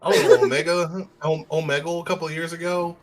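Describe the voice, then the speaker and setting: A man in his late twenties co-hosting a podcast, bantering and teasing in a relaxed way. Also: a little high, quick pace, soft background music. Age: 20s. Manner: laid-back, teasing banter. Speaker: podcast co-host. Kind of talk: casual podcast chat.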